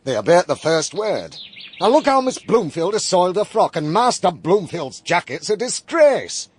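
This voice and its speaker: A man's voice: high voice, sing-song, normal speed, slight background noise. An actor playing an irascible, pompous Victorian father with an English accent, scolding and fault-finding in a period audio drama. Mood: disgusted complaint.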